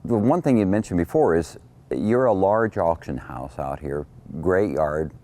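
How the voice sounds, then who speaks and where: A man's voice: low voice, animated, a little slow, mellow. A man hosting a farm show, interviewing a guest and narrating with folksy interjections.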